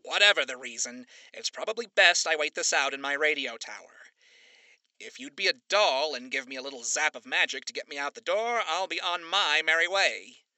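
A man's voice: on the high side, expressive, moderate pace, sharp tone, no echo, voice pushed up, rough recording. A man voicing a sly, mocking male radio demon who speaks with a theatrical, condescending drawl. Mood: smug.

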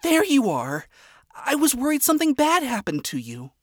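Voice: high voice, wildly swooping pitch, normal speed, no echo, studio quality, pitched above the performer's own voice. A reader voicing an earnest, upbeat young demon princess who speaks in an eager, sincere way. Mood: anxious.

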